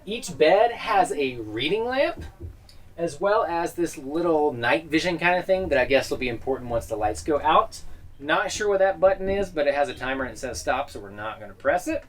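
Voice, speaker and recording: A man's voice: fairly high pitch, expressive, normal speed. A man vlogging a casual tour of a small sleeper train cabin, dropping dry joking asides. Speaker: travel vlogger.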